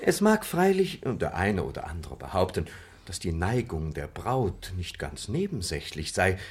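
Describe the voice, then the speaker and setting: A scheming young lover in a radio comedy, posing as a flattering servant. His husky voice swoops expressively as he delivers his arguments with theatrical flourish. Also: sits low, measured pace, heavily acted. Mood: flattering, arguing. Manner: obsequious, rhetorical, theatrical. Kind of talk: comic radio play acting.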